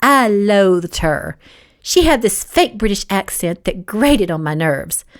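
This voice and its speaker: A woman's voice: medium pitch, very animated, unhurried. A woman voicing a haughty, spiteful young woman in an audiobook, with a snappy, condescending delivery. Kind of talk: audiobook character dialogue.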